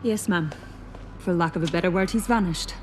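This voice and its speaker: Woman acting a low-voiced, dutiful analyst. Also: normal speed, a little hiss or hum, natural voice. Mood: cautious. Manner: formal, deferential. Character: dutiful female intelligence analyst reporting to her boss. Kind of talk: video game cutscene acting.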